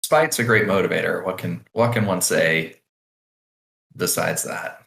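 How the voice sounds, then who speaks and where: A man's voice: low-pitched, unhurried, creaky, a bit bright. A man co-hosting a podcast, reading listener questions in laid-back casual chat with dry quips. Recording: echoey room.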